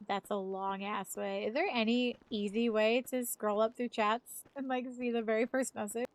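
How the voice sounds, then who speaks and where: A woman's voice: a little high, fairly even intonation, moderate pace. A woman in her thirties, a live streamer chatting casually, rambling and swearing freely. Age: 30s. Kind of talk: casual chat.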